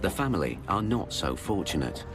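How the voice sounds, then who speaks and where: A male documentary narrator with a very low, expressive voice reads a script in a measured, informative way. Loud music runs under his words.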